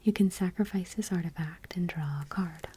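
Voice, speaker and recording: ASMR host, female: very breathy whisper, soft dark tone, slightly low, gentle reading with casual asides. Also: moderate pace.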